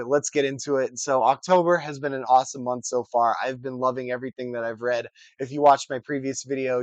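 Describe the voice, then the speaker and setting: Hoarse young man chatting about books. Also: no echo. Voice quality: scratchy, deeper than usual. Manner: chatty, upbeat, many uh fillers and restarts. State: hoarse, recovering from a cold. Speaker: book vlogger. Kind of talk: casual chat to camera.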